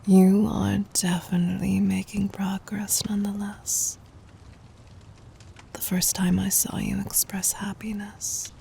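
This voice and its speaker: A woman's voice: mid-range voice, a little hiss or hum. Whispering, adoring demon queen, acted by a woman. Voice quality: soft, breathy whisper. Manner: tender, formal, regal phrasing. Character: adoring, gently dominant demon queen lover. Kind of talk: whispered ASMR romantic roleplay.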